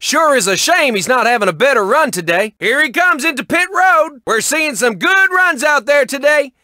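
Excitable, high-pitched cartoon race announcer. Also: male voice, moderate pace, no room sound, studio quality, heavily acted. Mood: lively commentary. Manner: excitable, folksy, showy announcer delivery. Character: excitable folksy male car-race announcer in a cartoon world. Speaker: race commentator. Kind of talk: excited sports commentary for a racing video game.

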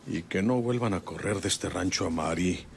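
A gruff, domineering Mexican rancher of middle age in a radio soap. The voice is very low and gravelly, moving slowly and ponderously. There is faint noise behind it. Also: male voice. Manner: folksy, ponderous, brooding. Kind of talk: radionovela acting, rural soliloquy.

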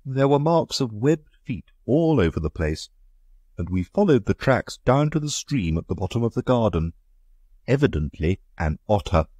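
A man voicing a blustering, indignant country gentleman in a reading of a story. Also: lowish voice, very animated, normal speed, no echo, studio quality, pitched above the performer's own voice. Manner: blustering, emphatic.

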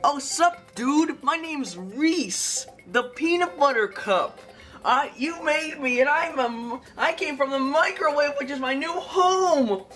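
A woman's voice: high voice, animated, takes it slowly, fairly bright tone, faint music behind, full character voice. A young woman voicing a laid-back surfer-dude peanut butter cup candy in a plush puppet video, with a slangy, drawling, easygoing manner.

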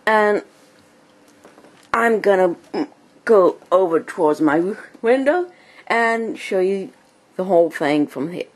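Slow, halting woman with a cold. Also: medium pitch, lively intonation. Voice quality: speech impediment. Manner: halting, choppy phrases. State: has a cold, clearing throat. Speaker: amateur video blogger and hobby writer. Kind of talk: casual narrated home room tour. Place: small home computer room. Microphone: handheld camera held by the speaker.

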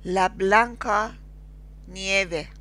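A woman with a Persian accent teaching Spanish. She speaks slowly and deliberately, pausing after each word in repeat-after-me drills. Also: medium pitch, pushed and bright. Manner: slow, deliberate, spells out each word with pauses. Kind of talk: slow screen-recorded language lesson with repeat-after-me drills.

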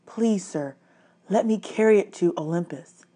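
A woman's voice: medium pitch, measured pace, dark tone, lightly acted. A woman reads as a young boy hero in a storybook. He is nervous yet blunt, questioning his elders in a hesitant way. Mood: nervous, puzzled.

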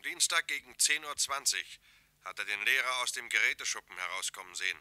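An actor playing a brisk, formal gendarmerie lieutenant in a radio crime play, with clipped official delivery. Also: male voice, medium pitch, bright, forceful tone, no echo. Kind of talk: German radio play acting.

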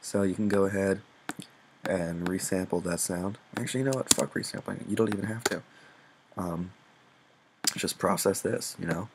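A young man with a very low voice that crackles with vocal fry. He talks through a tutorial off the cuff, informal, sweary and self-deprecating. The recording has clear background noise with little clicks. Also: steady tone, normal speed, long pauses. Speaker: music production tutorial presenter. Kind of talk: casual unscripted screen-recorded tutorial.

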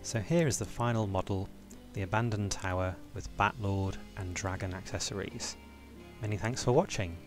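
A man hosting his own channel, reading a scripted review as voice-over in a measured, tidy way. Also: fairly low pitch, fairly even intonation, music in the background. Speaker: toy-building channel host.

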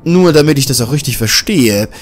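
A man's voice: mid-range voice, lively intonation, a little fast, a little hiss or hum, natural voice. A man voicing a curious male journalist in a self-made audiobook, asking probing questions with slight hesitations. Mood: questioning. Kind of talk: audiobook character voice.

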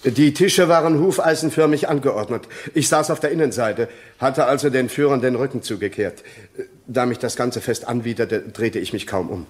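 An actor playing an earnest former soldier testifying in a radio crime drama, sober, deliberate and respectful. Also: male voice, mid-range voice, close to an everyday voice. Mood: earnest, explaining.

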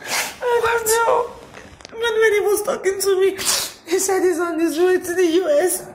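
Jilted bride: extremely high voice, weeping, devastated and helpless, melodramatic screen delivery. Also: female voice, normal speed, a little mellow, reverberant, slight background noise. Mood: tearful, devastated. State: crying. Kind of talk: melodramatic film acting.

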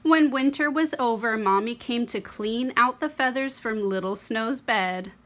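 A young woman reading a picture book aloud to children as host, expressive, with dramatic pauses and emphasis. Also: on the high side. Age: young adult.

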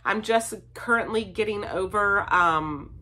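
Bright-voiced chatty woman with a stuffy nose. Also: medium pitch, a little slow. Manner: chatty, upbeat, many "um"s and "like"s. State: congested from a head cold. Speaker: vlogger, stay-at-home mom. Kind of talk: casual chat to camera. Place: home basement, indoors. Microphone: camera in front, seated framing.

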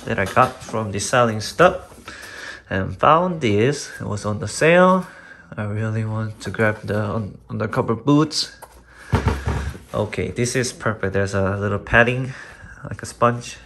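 A man giving a casual, unscripted footwear review in a halting, rambling way with loose wording and mild swearing. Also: mid-range voice, a bit bright. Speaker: sneaker and boot reviewer. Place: small indoor room at a desk. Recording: clearly noisy home recording with clicks.

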